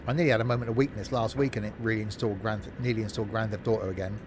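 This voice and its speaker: A man's voice: steady tone, racing. A man chatting casually and jokily as he live-streams a game, replying to viewers in an informal way. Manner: informal, jokey, chatty asides. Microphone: streaming microphone through a mixing desk. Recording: constant background noise, vehicle rumble.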